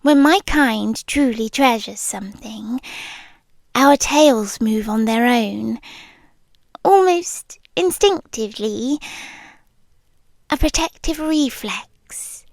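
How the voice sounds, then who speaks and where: A British woman playing a centuries-old, possessively devoted monster girlfriend in an intimate ASMR roleplay, speaking softly and often slipping into breathy whispers. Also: on the high side, lively intonation, slow pace, pauses often, no echo, exaggerated voice. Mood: gentle, possessive.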